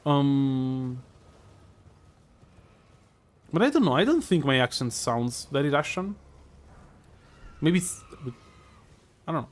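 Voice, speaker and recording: A man with a strong Italian accent, a streamer musing out loud over live gameplay with many fillers and restarts. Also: medium pitch, very animated, measured pace. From Italy.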